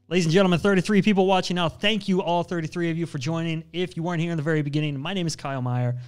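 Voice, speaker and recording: A young man with a fairly high, smooth and clear voice hosts a relaxed live stream on photo editing. He talks in an upbeat, slangy, chatty way and keeps reading out viewers' messages. He speaks close to a studio mic in a small room at home. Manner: upbeat, slangy, chatty, reads chat aloud. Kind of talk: casual live-stream tutorial. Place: home studio with brick wall, indoors. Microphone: studio mic on boom arm, headphones.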